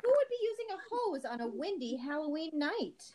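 High voice, woman acting as firm mother, suspicious, no-nonsense, amateur audio play. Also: very animated, fairly quick, mellow, slight background noise. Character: suspicious, firm mother questioning her children.

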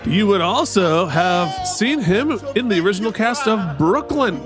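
A man's voice: high-pitched, expressive, measured pace, loud music behind the voice. A man co-hosting a show, giving casual, playful commentary. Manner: playful, bantering. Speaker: co-host of a TV rewatch show.

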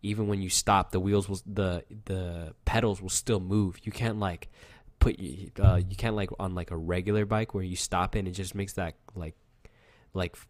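A young man with a fairly low, youthful-sounding voice, chatting loosely and swearing as he rambles through stories. The sound is dry and close, with no room around him. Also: fairly even intonation, moderate pace, dry recording. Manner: rambling, loose storytelling with lots of fillers and swearing. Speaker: podcast co-host. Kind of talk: casual chat.

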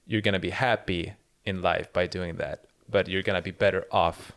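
Thoughtful low-voiced man musing aloud. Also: steady tone, moderate pace, creaky, a bit bright. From second-generation immigrant. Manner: thoughtful, searching, restarts often. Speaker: podcast co-host. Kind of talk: casual chat.

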